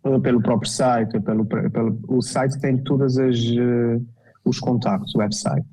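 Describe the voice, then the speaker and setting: Azorean Portuguese man, mellow voice. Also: medium pitch, fairly even intonation, measured pace, rough recording. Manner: hesitant, with many fillers and restarts. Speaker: guest architect. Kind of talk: casual interview talk.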